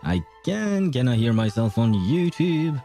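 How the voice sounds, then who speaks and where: A man's voice: medium pitch, sing-song, a little slow, dark tone, faint music behind. A man hosting a livestream, chatting casually with viewers in a hesitant, repetitive way. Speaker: livestream host.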